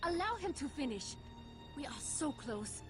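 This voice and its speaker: A woman's voice: high-pitched, big pitch swings, a little slow. An actress playing an earnest, idealistic woman scientist with a pleading, intense manner in a video game cutscene. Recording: game audio with music behind.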